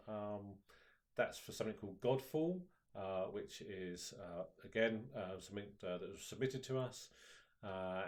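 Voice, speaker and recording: A man chatting casually on a live stream about upcoming comic projects, hesitant with many ums and restarts. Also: lowish voice. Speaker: comic publisher co-host.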